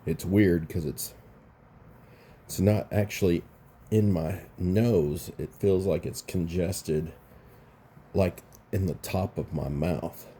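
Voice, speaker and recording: A man's voice: low voice, takes it slowly, pauses often, warm tone, slight background noise. A man hosting a casual commentary vlog, sounding congested and rambling, often restarting his phrases. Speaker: YouTube commentary host.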